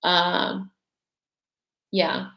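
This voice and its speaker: A woman's voice: fairly low pitch, extremely slow, pushed and bright, reverberant. Chatty young woman, mixed American-tinged accent. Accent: mixed English accent, mostly American-influenced. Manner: chatty, rambling, many "like" fillers. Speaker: vlogger answering viewer questions. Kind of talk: casual livestream Q&A.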